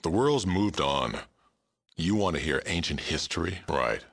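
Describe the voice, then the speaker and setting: An aging mutant sheriff from a video game, speaks in an extraordinarily deep register. The voice is thick with gravel and has a hard, forceful edge. He is blunt and dry, a world-weary lawman who keeps things fair. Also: animated, a little slow, full character voice. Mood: reflective, world-weary. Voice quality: gravelly, rumbling. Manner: blunt, terse, dry delivery. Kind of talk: video game character dialogue.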